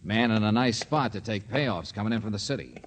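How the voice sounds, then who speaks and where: An actor playing a tough, wisecracking American private eye in a 1950s radio drama, terse, wry and insistent, on a noisy old recording. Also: male voice, on the low side, lively intonation, fairly quick, natural voice. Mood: urgent.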